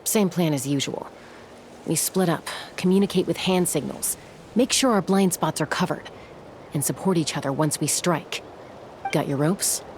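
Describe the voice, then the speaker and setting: Woman acting a low-voiced, confident young female scout. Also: normal speed, a little mellow, a little hiss or hum, close to an everyday voice. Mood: focused. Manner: cool, matter-of-fact, tactical. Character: confident, capable young tribeswoman scout, protective older sister. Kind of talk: video game voice acting. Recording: game music behind the voice.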